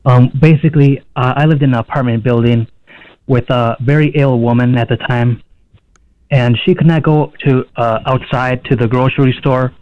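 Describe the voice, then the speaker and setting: A man's voice: mid-range voice, steady tone, normal speed, clean tone, no echo. Hesitant male caller, dull phone line. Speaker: prank caller. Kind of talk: hesitant casual storytelling. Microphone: telephone.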